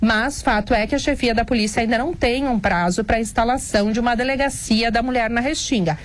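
A woman's voice: fairly high pitch, fast. A woman reporter giving a live radio report, explaining with the occasional filler. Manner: explanatory, slightly unscripted with fillers.

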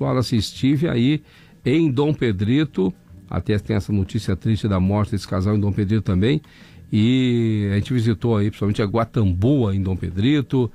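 A man with a southern Brazilian accent hosting an overnight radio show, hoarse from laryngitis, chatting folksily as he reads out listener messages. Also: medium pitch, mellow. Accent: southern Brazilian, Rio Grande do Sul. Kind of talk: casual radio chat reading listener messages. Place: radio studio.

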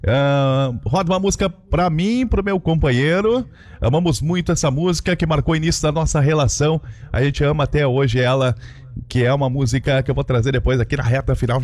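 A man's voice: fairly high pitch, darkish tone. A man with a Brazilian Portuguese accent hosting a music radio show, talking in a chatty, folksy way and filling with little tags. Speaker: radio host. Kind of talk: radio DJ chat.

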